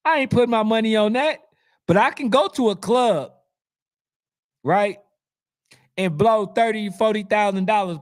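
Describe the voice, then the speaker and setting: Hoarse man riffing to his viewers. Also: high-pitched. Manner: animated, preachy, talks straight to his viewers. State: losing his voice. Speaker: youth football channel host. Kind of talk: casual commentary reading comments.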